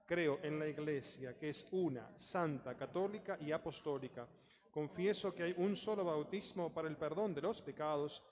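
A man's voice: fairly high pitch, normal speed, darkish tone. Man reciting liturgy in a reverberant church. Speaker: priest. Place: large church.

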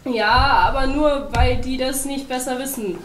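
A woman's voice: on the high side, a little fast, lightly acted. Woman as a stubborn, easygoing pacifist polar bear. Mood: stubborn, placating. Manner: colloquial, unbothered, dismissive. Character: laid-back, slow-witted pacifist polar bear, stubbornly peaceable. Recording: roomy, distant mic, faint noise.

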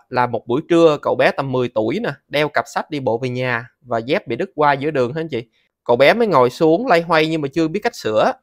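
A man's voice: on the high side, no room sound, clean studio sound. A man in his thirties with a Southern Vietnamese accent giving a casual, conversational step-by-step tutorial as host. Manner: conversational, frequent sentence-ending particles addressing viewers.

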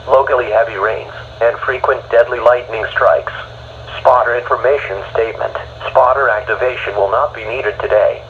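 An American man's synthetic text-to-speech voice reading a weather bulletin in a flat, formal way. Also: mid-range voice. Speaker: automated weather radio announcer. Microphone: phone held to a radio speaker. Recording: dull radio broadcast re-recorded by phone, faint noise.

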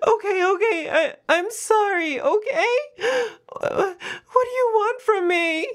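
A woman's voice: very high voice, slow, very noisy. A woman voicing a defensive, flustered young half-wolf girl roommate in an audio roleplay, stammering and evasive with a whiny manner. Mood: panicked, whining.

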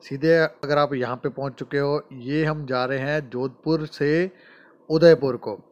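A man's voice: a little high, fairly even intonation, a little slow, a little mellow, muffled recording. A man giving a friendly road trip voice-over, talking straight to viewers. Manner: friendly, addresses viewers directly. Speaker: travel vlogger.

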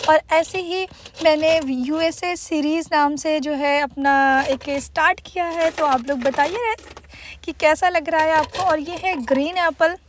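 High-voiced woman chatting thru a vlog outside, bit of faint noise behind. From India. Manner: chatty, mixes English words into Hindi. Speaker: vlogger. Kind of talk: casual vlog narration.

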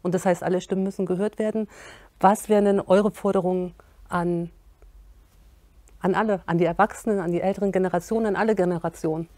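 A middle-aged woman moderating an interview, thoughtful and pausing to search for words, in an indoor studio. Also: lowish voice, steady tone, warm tone, no room sound.

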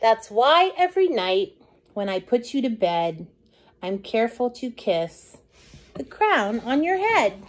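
Animated, high-pitched woman reading a picture book. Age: middle-aged. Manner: slow, deliberate, sing-song delivery for young children. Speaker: children's storytime reader.

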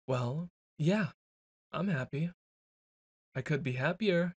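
A man's voice: fairly high pitch, takes it slowly, breathy edge, no echo, studio quality. A young man performing a boyfriend ASMR roleplay, brash and teasing, stumbling into flustered stammers.

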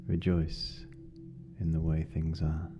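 A meditation guide speaking gently and unhurriedly, with long pauses, leading a guided meditation. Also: very deep voice, level tone. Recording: dull lo-fi sound, music behind. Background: meditation music.

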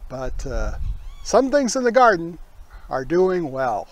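An older man in his sixties with a slightly high-set voice. He talks casually as the host of a garden video, in a folksy, unhurried manner, recorded outdoors in a backyard. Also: sing-song. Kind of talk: casual garden tour talk.

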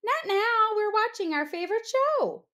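A woman's voice: extremely high pitch, big pitch swings, normal speed, fairly bright tone, voice pushed up, heavily acted. A woman voicing a carefree, friendly little pig with a chirpy, sing-song manner in a children's storybook. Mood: dismissive. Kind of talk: children's storybook read-aloud.

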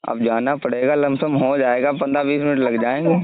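A man's voice: mid-range voice, fairly even intonation, brisk. A man talking casually on a phone call, answering briefly in a placating way. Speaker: recipient of a scam call. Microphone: telephone line. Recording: tinny recorded phone call, band-limited.

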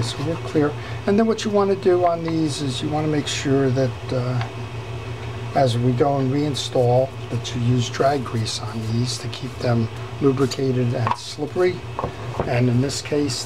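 Man, repair tutor at a workshop bench, mid-pitched, unhurried, fillers and restarts; camera mic picks up noticeable background noise. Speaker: fishing reel repairer. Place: workbench in a workshop.

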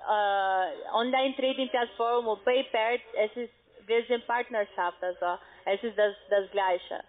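High voiced woman call center agent, halting n pushy step by step, tinny phone audio. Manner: halting, insistent, step-by-step instructing. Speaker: call centre agent for an online trading platform. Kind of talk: scripted-sounding phone sales instruction. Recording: muffled narrow phone line.

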